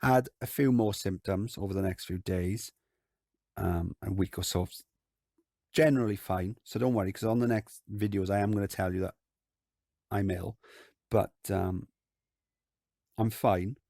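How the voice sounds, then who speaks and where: A man with a croaky voice, hosting a casual game commentary and rambling with lots of filler words. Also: fairly low pitch, steady tone, a little slow, long pauses, darkish tone, no echo, clean studio sound. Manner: rambling, lots of ums and likes. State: croaky voice from illness. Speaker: gaming channel host. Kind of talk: casual let's-play commentary.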